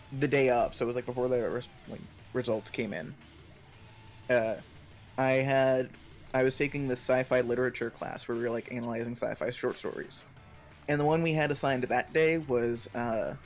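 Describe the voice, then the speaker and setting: A young man chatting casually on a live stream, telling stories in a rambling, halting way full of filler words. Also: mid-range voice. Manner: rambling, halting storytelling peppered with 'like'. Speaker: stream co-host.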